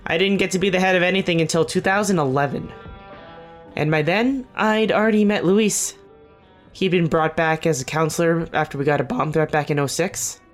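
A weary, guarded veteran boss played by an actor in a fiction podcast, with a mid-pitched voice over music. The delivery is earnest and naturalistic, halting and self-correcting, with a dry wit. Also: lightly acted. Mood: reflective. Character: weary, earnest, guarded veteran team leader in a government system. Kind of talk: naturalistic audio drama dialogue.

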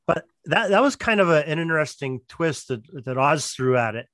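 A man's voice: fairly high pitch, animated, moderate pace, fairly bright tone, no echo. A man in an interview explaining things casually as a producer, repeating words as he builds each point. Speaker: podcast drama producer.

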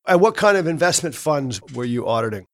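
A man's voice: a little high, lively intonation, brisk, fairly bright tone. Middle-aged man hosting an interview. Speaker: podcast host, interviewer. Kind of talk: casual conversational interview.

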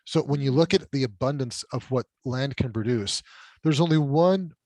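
A man's voice: mid-range voice, normal speed, darkish tone, no echo. Middle-aged guy, spanish first language, chatty storyteller, dry mic, no room sound. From California border town, Mexican side. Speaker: guest. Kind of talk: conversational storytelling interview.

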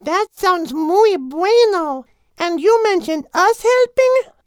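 A man's voice: extremely high pitch, animated, takes it slowly, dry recording, voice pushed up, heavily acted. A man voicing a tiny, cheerful, eager bird in a children's audiobook. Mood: eager, excited. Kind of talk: audiobook character voice.

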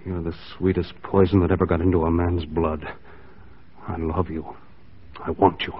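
A man's voice: low-pitched, little pitch movement, moderate pace. An actor playing a lovesick young mounted policeman torn between duty and passion, intense and melodramatic in 1940s radio style. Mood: torn, ardent. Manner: intense, melodramatic romantic delivery. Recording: muffled 1940s radio recording.

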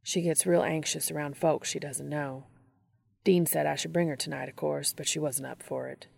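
A woman's voice: deep voice, fairly even intonation, moderate pace, creaky, darkish tone, husky, dry recording, studio quality, pitched below the performer's own voice, exaggerated voice. A woman voicing a burly, kindly male mechanic in a storybook narration, with an easygoing, folksy, reassuring manner.

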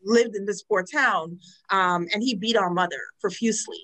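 A woman's voice: medium pitch, moderate pace, low-quality recording. Frank woman from Trinidad telling her story. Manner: frank, earnest storytelling with animated quoted asides. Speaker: guest, domestic violence advocate. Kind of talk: personal testimony in a podcast interview.

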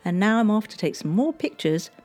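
Mellow woman narrating history. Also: mid-range voice, very animated, soft background music. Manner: measured, gently storytelling delivery.

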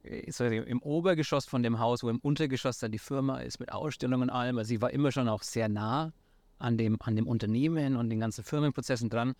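A man's voice: medium pitch, fast talker, a little mellow, dry recording. A man in his thirties from near Nuremberg with a Franconian accent, talking as an interview guest with many fillers and restarts. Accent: Franconian German. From Franconia, near Nuremberg, Germany. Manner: hesitant, many fillers and restarts, mixes in English words. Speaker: startup founder guest. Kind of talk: casual interview talk.

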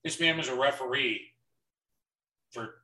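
Bright-voiced man in an echoey room. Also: mid-range voice, a little slow. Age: early 30s. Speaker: podcast co-host. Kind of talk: casual wrestling watch-along commentary. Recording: echoey room, distant from mic.

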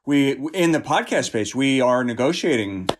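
Halting man talking through an explanation. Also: mid-range voice, animated, background noise. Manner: halting, repeats words while explaining. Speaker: writers' guild representative being interviewed. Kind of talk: conversational interview answers.

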